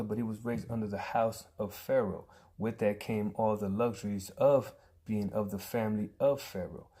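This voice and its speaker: A man giving an earnest prepared tribute speech, emphatic, with pauses and restarts. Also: lowish voice, mellow.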